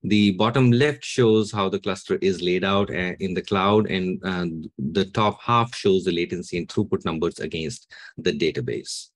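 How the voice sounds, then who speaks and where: A man in his forties walking through a software demo step by step in an explanatory way. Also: low voice, normal speed, no echo. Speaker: demo presenter. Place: indoor room, webcam recording.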